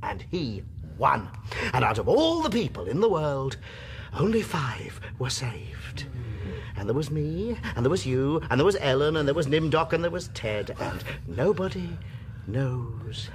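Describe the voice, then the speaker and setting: An actor playing a broken, jittery male survivor in a radio drama, excitable and stammering. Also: mid-range voice, measured pace. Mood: wistful. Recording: noisy ambience behind voice.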